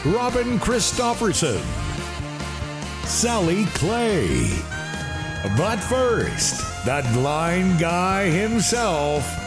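An announcer reading a scripted podcast introduction with music playing loudly underneath. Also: mid-range voice, sing-song, extremely slow.

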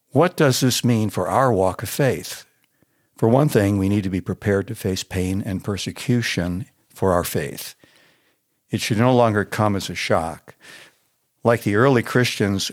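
A man with an American accent, a pastor reading a scripted devotional in an earnest, measured manner. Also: on the low side.

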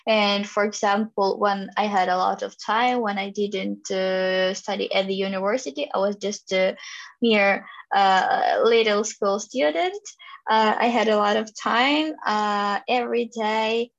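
A woman's voice: mid-range voice, measured pace, gravelly. A young woman from Ukraine, speaking English with a Ukrainian accent, answering interview questions hesitantly with many fillers and restarts. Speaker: interview guest. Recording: dull lo-fi recording.

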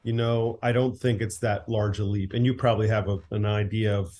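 A man's voice: fairly low pitch, steady tone, a little fast, darkish tone. A man, a director and writer, guesting on a podcast, easygoing, laughing often as he tells stories. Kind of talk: casual interview.

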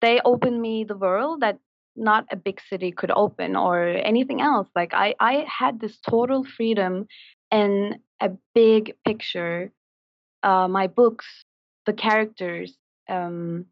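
Turkish-accented woman, halting and searching. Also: mid-range voice, dry recording, dull audio. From Turkey. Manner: halting, searching for words, many likes and ums. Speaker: podcast guest. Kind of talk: casual interview chat.

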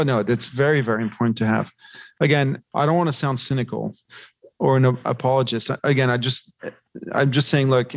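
A man's voice: medium pitch, no room sound. A man giving conversational financial commentary as an interview guest, talking discursively and posing rhetorical questions. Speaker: podcast guest, investment research analyst.

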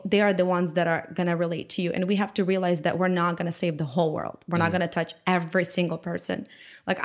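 Casting note: immigrant woman from Ukraine, guest on a faith podcast, animated, laughs easily, slightly low voice. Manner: animated, laughs often, acts out quoted voices. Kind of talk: casual faith conversation.

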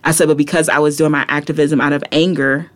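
A woman's voice: sits low, little pitch movement, quick pace. A young adult woman, a poet and activist, talking passionately as a podcast guest, with frequent "like" and "right?" asides. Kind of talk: reflective casual interview talk.

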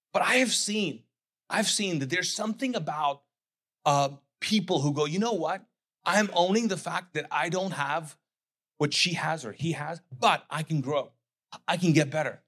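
A middle-aged man, raised in Kuwait, with English as neither his first nor second language, preaches from a church stage. His voice sits a bit on the high side. He keeps it chatty, acts out the voices of imagined people and stops to get the room to answer. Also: a bit bright. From Pakistani family, raised in Kuwait. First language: not English. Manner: conversational preaching, quoting imagined voices, asks the crowd to answer. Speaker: pastor. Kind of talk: sermon.

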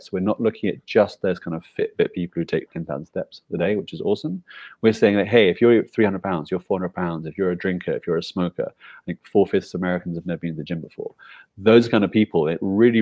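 British startup founder, deep voice, brisk and eager explainer, dry video-call audio. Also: male voice, darkish tone, no room sound. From Britain. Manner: explains eagerly with rhetorical "right?" checks. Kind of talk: casual video call interview.

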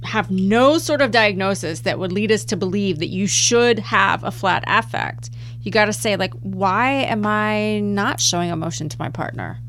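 Female advice-podcast host, conversational and challenging, medium pitch, pointed questions, light hum on the recording. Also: normal speed, a bit bright. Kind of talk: casual advice podcast debate.

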